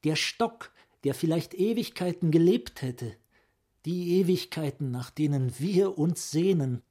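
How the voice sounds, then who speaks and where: An actor in a radio play playing a philosophical, probing psychiatrist with an eloquent, rhetorical manner. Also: male voice, on the high side, expressive, a little slow, mellow. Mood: fervent, visionary. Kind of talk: radio drama acting, literary monologue.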